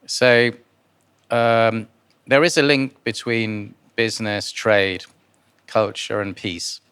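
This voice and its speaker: A man with a fairly low, smooth and resonant voice, speaking as an interview guest. His answers are informal and hesitant, with words repeated as he goes. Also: steady tone, slow, fairly bright tone. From born in Guyana. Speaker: interviewee, philanthropist and investor.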